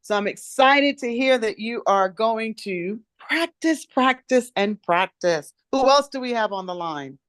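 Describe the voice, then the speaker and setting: A woman's voice: fairly high pitch, animated, unhurried, few pauses, dry recording, studio quality. A woman from Trinidad with a light Trinidadian accent, leading a motivational call with emphatic, encouraging repetition. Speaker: call facilitator.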